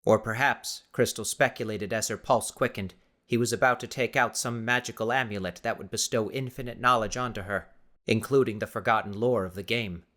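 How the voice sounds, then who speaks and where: A man reading dramatic scripted narration for an audiobook in a deliberate, theatrical way. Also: on the low side, steady tone, fairly bright tone.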